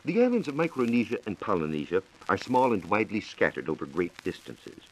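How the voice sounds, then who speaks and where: A man's voice: lowish voice, big pitch swings, a little fast, slight background noise, low-quality recording. A man with an American accent giving a formal, explanatory scripted science talk on 1940s radio. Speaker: science reporter.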